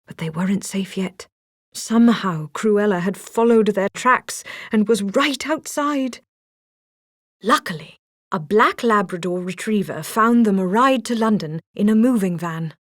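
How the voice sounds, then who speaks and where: A woman narrates a children's storybook with dramatic expression. Her voice sits at a middle pitch. The recording is close and dry, without room sound. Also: unhurried, dry recording. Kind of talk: scripted children's read-along narration.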